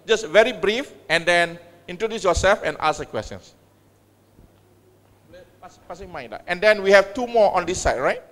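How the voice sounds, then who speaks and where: A middle-aged man, giving a measured conference talk as a university head and taking questions, with frequent pauses. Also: high voice, expressive, fairly bright tone. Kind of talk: conference speech and Q&A moderation. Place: large university hall. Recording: long hall reverb.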